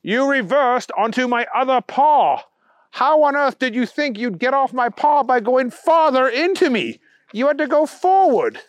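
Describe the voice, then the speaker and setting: Man playing a high-pitched, indignant, pompous storybook bunny. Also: expressive, normal speed, no room sound. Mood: exasperated, lecturing. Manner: prim, formal, lecturing. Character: indignant, fussy, pompous talking Easter Bunny, male. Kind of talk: children's storytelling character voice.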